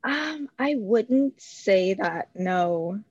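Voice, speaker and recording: A woman's voice: medium pitch, lively intonation, drawn-out words, darkish tone, no room sound, lo-fi sound. A woman, talking as an interview guest, hesitant with many fillers and frequent laughs. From Bahamas. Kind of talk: casual interview.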